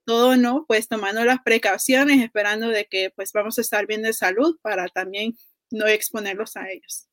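A woman's voice: mid-range voice, fairly even intonation, normal speed, fairly bright tone, no room sound. Salvadoran woman guest, close dry sound. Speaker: interview guest, community health worker. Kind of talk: casual interview talk.

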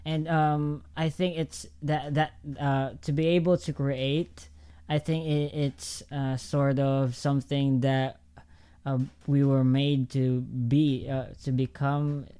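A man hosting a podcast, asking questions in a halting, friendly way. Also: medium pitch, flat delivery, warm tone, smooth. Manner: halting, many fillers and restarts, friendly. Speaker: podcast host. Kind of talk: casual interview chat.

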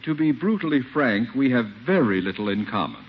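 An actor playing a haughty, demanding neighbor with a formal, curt manner, in 1950s radio comedy. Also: male voice, mid-range voice, big pitch swings, brisk, husky. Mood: aloof. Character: haughty, crusty, demanding well-to-do male neighbor. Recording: dull, faintly noisy 1950s radio recording.

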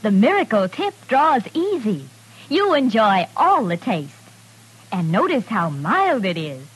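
A woman's voice: a little high, big pitch swings, unhurried, husky, low-quality recording. A woman reading a vintage radio advertisement in a sales announcer's style. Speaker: commercial announcer.

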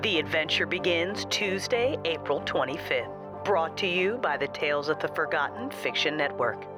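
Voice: mid-range voice, lively intonation, moderate pace, music in the background. An announcer reading a scripted promotional message.

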